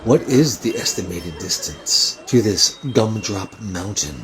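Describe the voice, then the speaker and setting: Low-voiced pedantic science officer, played by a man. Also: expressive, slow, music in the background. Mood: analytical. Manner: pedantic, precise, clinical. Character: literal-minded, over-analytical science officer, not human. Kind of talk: sci-fi comedy radio drama acting.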